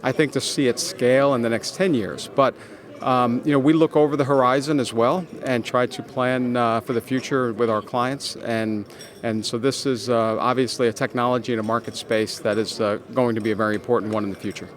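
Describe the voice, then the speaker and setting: A man answering interview questions as a business executive, explaining in halting phrases with frequent pauses and repeated words. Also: medium pitch, fairly even intonation. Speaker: consultancy executive, interview guest.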